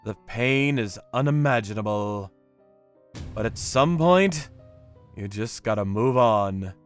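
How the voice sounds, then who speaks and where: A man voicing a grim, vengeful torturer who narrates his work in a manga dub with deliberate menace. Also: fairly low pitch, lively intonation, soft background music. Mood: grim, reflective. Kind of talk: dramatic first-person manga dub narration.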